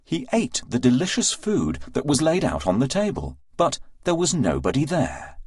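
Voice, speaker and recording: A man narrating a scripted fairy tale for children with an expressive, deliberate storytelling delivery. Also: medium pitch, husky, dry recording, clean studio sound.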